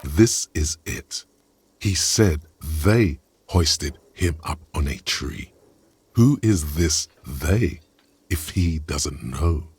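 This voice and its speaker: An actor voicing a solemn, brooding inner-voice narrator in a detective video game, with a raspy voice and a dry, deliberate delivery. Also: male voice, lively intonation, darkish tone, no room sound, full character voice. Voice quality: very deep, gravelly rasp.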